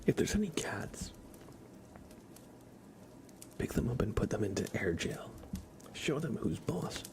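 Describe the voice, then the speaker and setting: A man hosting an ASMR livestream, chatting softly and casually in a hushed, unhurried way that often slips into whispering. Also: lowish voice, big pitch swings, slight background noise. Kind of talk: soft ASMR livestream chat.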